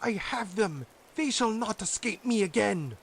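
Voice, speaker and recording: A man putting on a shrill wicked old witch. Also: lively intonation, measured pace, vocal fry, a little hiss or hum, heavily acted. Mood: gloating. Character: wicked old female witch, mocking, greedy.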